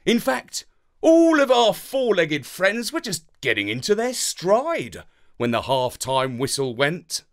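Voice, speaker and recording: Male narrator for children's fiction, slightly higher-pitched, playful and dramatic, extremely varied intonation. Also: huge pitch swings, slow pace. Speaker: storyteller reading a children's story. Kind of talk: animated scripted narration of a children's story.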